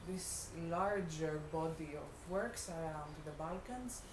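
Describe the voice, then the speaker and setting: A woman from Greece with a Greek accent, a non-native English speaker, answering interview questions reflectively and haltingly as she searches for words. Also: deep voice. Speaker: artist being interviewed. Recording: echoey room with clear background noise.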